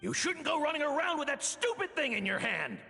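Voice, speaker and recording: Game role: domineering male bully, threatening, strained and very high for a man, heavy reverb. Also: big pitch swings, brisk, gravelly, bright, forceful tone, faint music behind, heavily acted. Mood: furious, threatening. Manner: scolding, threatening. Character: harsh, bullying adult scolding in a nightmare memory. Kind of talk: video game voice acting. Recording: long cavernous reverb.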